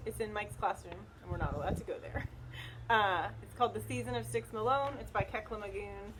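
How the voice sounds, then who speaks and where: A woman's voice: medium pitch, a little fast, a crowd in the background, rough recording. A middle-aged woman recommending books casually as a co-host, speaking informally and hesitantly outdoors. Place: outdoors in wind. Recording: wind noise on the microphone.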